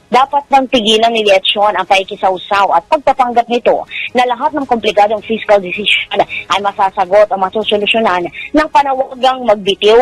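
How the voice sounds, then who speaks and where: High-voiced man reading a radio news report. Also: no echo, low-quality recording. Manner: brisk, formal reading with a sign-off tagline. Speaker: field reporter.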